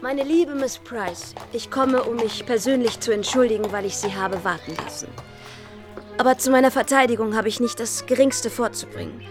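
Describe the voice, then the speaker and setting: A woman's voice: a little high, moderate pace, music in the background, lightly acted. Woman as a witty, self-assured society lady. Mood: playfully apologetic. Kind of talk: radio drama acting.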